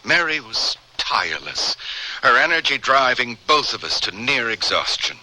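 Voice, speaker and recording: An actor playing a secretive, exhausted middle-aged scientist in a radio drama, speaking in a halting, grave and intense way. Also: male voice, mid-range voice, very animated, sharp tone, low-quality recording. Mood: solemn, reminiscing. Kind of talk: old-time radio drama acting.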